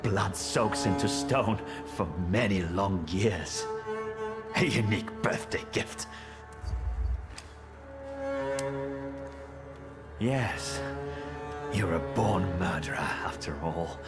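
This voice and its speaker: Very deep, menacing police chief. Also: male voice. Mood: taunting, defiant. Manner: formal, taunting, measured. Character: menacing, smooth-talking police chief in Tsarist Warsaw. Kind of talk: dramatic video game dialogue acting. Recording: loud music under the voice.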